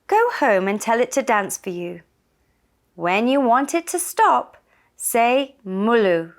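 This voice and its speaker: Woman as a slow, wise old man. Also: fairly high pitch, sing-song, pitched above the performer's own voice, exaggerated voice. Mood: calm instructing. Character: wise old man of the woods, calmly instructing.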